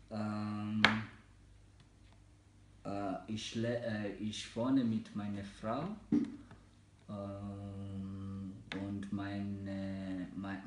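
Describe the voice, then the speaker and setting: A man in his twenties from Kenya, whose first languages are Mijikenda and Swahili, speaking careful learner's German with frequent pauses and fillers. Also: lowish voice, a little mellow, roomy sound, slight background noise. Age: 20s. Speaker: German learner in a practice interview. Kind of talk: slow, halting learner German with many fillers.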